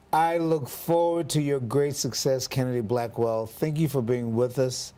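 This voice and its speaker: A man hosting a local TV interview, speaking in a measured, formal way with frequent ums. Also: medium pitch, steady tone, mellow. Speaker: TV interview host.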